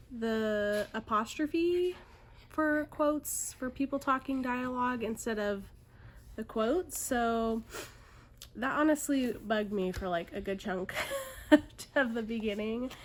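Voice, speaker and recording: A woman's voice: fairly high pitch, slow pace, slight background noise. A woman book vlogger talking casually and reading book blurbs aloud, a little congested in parts. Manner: chatty, upbeat, filler-heavy.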